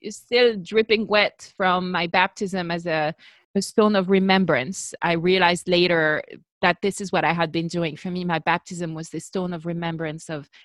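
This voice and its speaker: A woman's voice: fairly low pitch, steady tone, normal speed, no room sound. A woman in her forties from France with a French accent, a podcast guest talking earnestly and poking fun at herself, with plenty of ums and you knows. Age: 40s. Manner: earnest, self-deprecating, fillers like um and you know. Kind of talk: casual interview chat.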